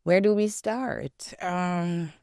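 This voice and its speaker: Low-voiced Jamaican woman, clear and dry. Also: a little mellow, no room sound. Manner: reflective, measured storytelling. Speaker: guest, educator and author. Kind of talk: interview conversation.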